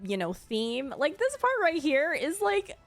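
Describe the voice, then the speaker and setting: A woman giving casual, gushing reaction commentary in a conversational, expressive way. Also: sits high, unhurried. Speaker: streamer ranking game character demos. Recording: music playing behind the voice. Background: background music.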